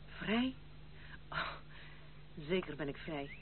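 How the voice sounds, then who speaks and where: A woman's voice: low voice, lively intonation, slow pace. Dignified, independent aristocratic woman, firm and formal, old radio play with phone-like sound. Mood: resigned, grave. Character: proud, independent young noblewoman, burdened and stern. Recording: tinny, band-limited, hissy old radio recording.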